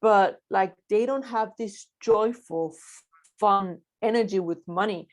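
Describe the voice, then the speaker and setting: A woman's voice: mid-range voice, unhurried. A woman hosting a podcast, chatting casually and acting out what people say. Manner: chatty, laughing, mimics people. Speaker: podcast host. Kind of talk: casual interview chat.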